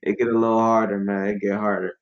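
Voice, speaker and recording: A man's voice: lowish voice, flat delivery, quick pace, muffled recording, a crowd in the background. A young man from Ghana, a musician guest on a podcast, talking in a laid-back, slangy, rambling way. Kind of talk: casual interview chat.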